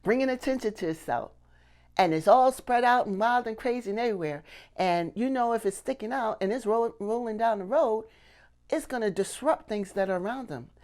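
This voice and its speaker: A woman's voice: fairly high pitch, lively intonation, a little fast, darkish tone. A middle-aged woman giving a casual lesson to camera, conversational and often checking in with little questions. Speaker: puppetry instructor and channel host. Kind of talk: casual instructional talk to camera. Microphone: clip-on lapel mic.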